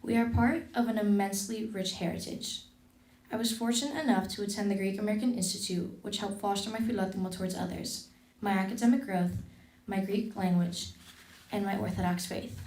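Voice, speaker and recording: Teen girl reading her thank-you speech, steady and formal, roomy echo. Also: mid-range voice, fairly quick. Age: teens. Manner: formal, earnest, steady delivery. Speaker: scholarship recipient. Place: event hall.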